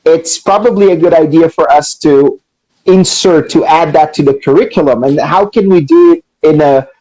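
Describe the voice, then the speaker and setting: Brazilian man, co-host, fairly high, mellow voice, explains in an easy, conversational way. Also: normal speed, low-quality recording. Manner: explanatory, frequent "you know" and "right?". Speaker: co-host, teacher. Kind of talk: casual live-stream explanation.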